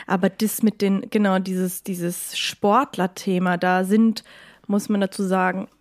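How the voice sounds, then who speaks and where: A woman's voice: medium pitch, level tone, unhurried, darkish tone. A young woman with a German accent in casual podcast chat as a co-host, speaking in an opinionated, emphatic way.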